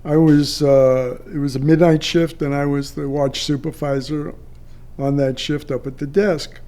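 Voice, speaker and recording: An older man, a retired air traffic controller, telling a personal story off the cuff in a halting way with drawn-out pauses. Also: fairly high pitch, level tone, dark tone, soft background music.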